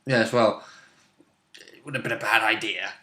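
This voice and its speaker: A man's voice: on the low side, fairly even intonation, moderate pace, bright, forceful tone. A man chatting casually as a player about a tabletop role-play game. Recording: roomy, echoey indoor recording.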